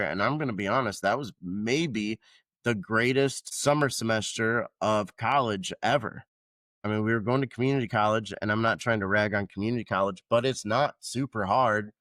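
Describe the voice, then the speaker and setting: A man's voice: on the low side, a bit bright, no room sound. A man in his thirties from Georgia who hosts a podcast and talks in a loose, rambling way with casual swearing. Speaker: podcast host, veteran. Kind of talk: casual chat.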